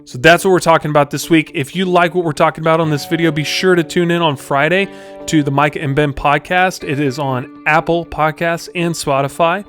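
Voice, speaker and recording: A man's voice: fairly high pitch, fairly even intonation, brisk, a bit bright, faint music behind. A man hosting a podcast, giving a casual motivational talk straight to the viewer in an informal, direct, confiding way. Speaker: podcast host.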